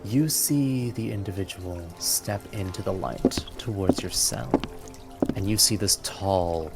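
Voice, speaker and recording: Deep, creaky-voiced male game master. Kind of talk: narrating a tabletop fantasy game.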